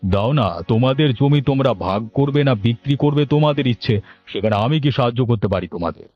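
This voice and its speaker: A man's voice: mid-range voice, lively intonation, fast talker, natural voice. Man acting aloof village headman, dull audio. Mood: detached. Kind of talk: Bengali cartoon story dubbing. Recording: dull lo-fi audio, music bed.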